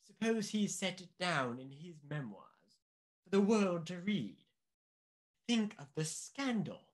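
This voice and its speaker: An actor playing a pompous, anxious upper-class government official in a mystery radio play, speaking deliberately and emphatically. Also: male voice, high voice, sing-song. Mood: alarmed, urgent.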